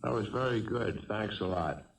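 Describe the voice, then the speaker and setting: A gravelly, edgy young gunman. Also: on the low side, normal speed, background noise. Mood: curious, guarded. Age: young adult. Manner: hesitant, stammering, edgy. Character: young trigger-happy fugitive killer holding a family hostage. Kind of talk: 1970s radio drama acting. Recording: roomy, distant old radio broadcast.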